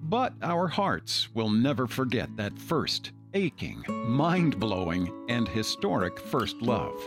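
An older male podcast host delivers scripted narration. His pitch is mid-range, and guitar music plays clearly behind him. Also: big pitch swings, slow pace.

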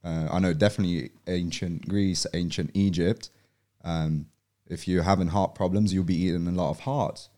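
Deep-voiced man chatting casually. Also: normal speed. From Poland. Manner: relaxed, frequent "um" and "you know". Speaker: podcast guest. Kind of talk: casual podcast conversation.

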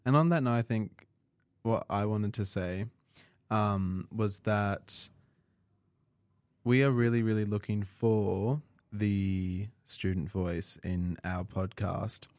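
A young man co-hosting a student podcast, talking in a measured, questioning way. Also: fairly low pitch, darkish tone, no echo. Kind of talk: casual chat.

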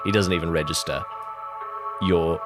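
A man's voice: sits low, fairly even intonation, moderate pace. A man playing a tabletop roleplaying game, improvising descriptive narration of his character and the scene, feeling for his words. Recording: loud music under the voice. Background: music.

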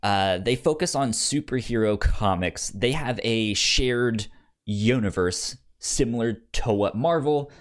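A man's voice: medium pitch, measured pace. A man co-hosting a podcast, chatting casually about books and often restarting and repeating his words as he goes. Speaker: podcast co-host. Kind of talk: casual book discussion.

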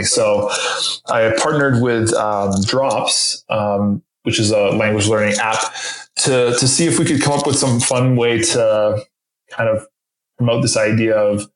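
A man whose first language is French, talking as an interview guest in a halting way with many fillers and restarts. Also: lowish voice. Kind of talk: casual interview conversation. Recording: roomy echo.

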